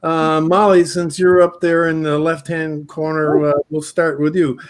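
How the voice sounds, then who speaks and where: A man hosting a casual interview over a video call, chatty and full of pauses and restarts. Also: a little high, monotone. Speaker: talk show host.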